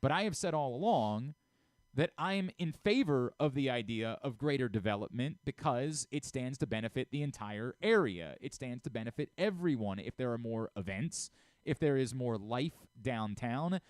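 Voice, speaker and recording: A man hosts a sports talk show in a dry studio. His voice is clear and mid-pitched. He comments emphatically, often repeating a point to drive it home. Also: lively intonation, normal speed, dry recording. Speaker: sports radio host. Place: radio studio.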